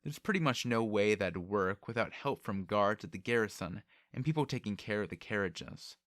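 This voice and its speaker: A man's voice: fairly low pitch, animated, moderate pace, fairly bright tone, no echo, clean studio sound. A man voicing a wry, easygoing male servant who talks in casual slang in an audiobook. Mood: cautioning. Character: laid-back, wry male servant with a sword.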